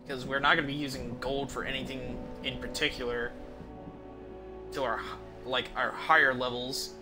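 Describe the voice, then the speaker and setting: A man's voice: medium pitch, flat delivery, normal speed, music in the background. A man casually commentating on his video game as a streamer, rambling and thinking aloud with offhand swearing.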